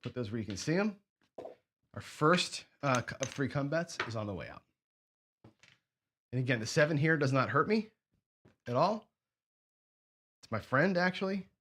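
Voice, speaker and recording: A man hosting a craps strategy show, hoarse and sniffly, chatting casually as he thinks aloud and counts out chips and bets. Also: mid-range voice, expressive, normal speed, pauses often, fairly bright tone. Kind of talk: casual play-along commentary.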